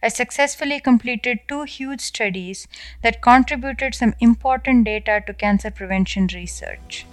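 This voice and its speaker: A woman in her thirties from South India with an Indian accent, reading her own scripted personal essay in a measured, earnest way. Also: a little high, no room sound, faint music behind. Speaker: podcast essayist. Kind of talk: first-person scripted narration.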